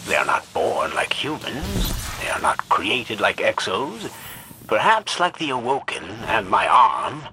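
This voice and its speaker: A man's voice: on the low side, huge pitch swings, unhurried, pushed and bright, heavily acted. A man playing an embittered, haughty scientist in a video game, speaking in a pompous, irritable, wordy way. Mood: grave, brooding. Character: embittered, haughty male scientist, half-converted by machines. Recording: gameplay noise underneath.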